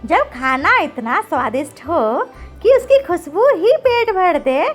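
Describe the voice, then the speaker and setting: Woman acting a shrill-high whiny cartoon granny. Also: big pitch swings, unhurried, faint music behind, full character voice. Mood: insistent. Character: indignant, pleading old village woman, comic complainer. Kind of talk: Hindi animated comedy acting.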